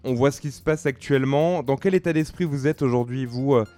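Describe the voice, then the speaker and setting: A man's voice: medium pitch, fairly quick, dark tone. Hesitant male radio host. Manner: hesitant, with many filler sounds. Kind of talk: radio interview.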